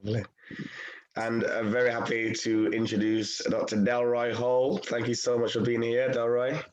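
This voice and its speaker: A man's voice: medium pitch, level tone, normal speed, poor audio. Upbeat male panel host. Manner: upbeat, friendly, open. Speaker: host and radio presenter. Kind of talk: hosting a panel discussion.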